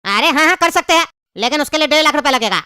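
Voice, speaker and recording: A woman's voice: high voice, lively intonation, rapid-fire, pushed and bright, dry recording, studio quality. A woman voicing a cocky, self-assured cartoon hustler with a teasing, boastful manner. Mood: teasing, haggling. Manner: bantering, boastful. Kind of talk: comedy cartoon voice acting.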